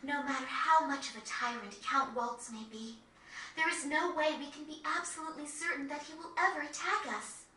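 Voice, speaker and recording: A principled young noblewoman in a game cutscene. Her high, bright voice is formal and deliberate, polite yet firm. The sound is roomy and slightly noisy. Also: steady tone. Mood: solemn, resolute. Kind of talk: dramatic video game cutscene acting. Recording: roomy, distant game capture with faint noise.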